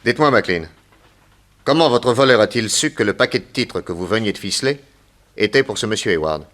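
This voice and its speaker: An actor playing a self-assured private detective in a French radio drama, brisk, methodical and authoritative. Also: male voice, on the low side, lively intonation, fairly bright tone. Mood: commanding.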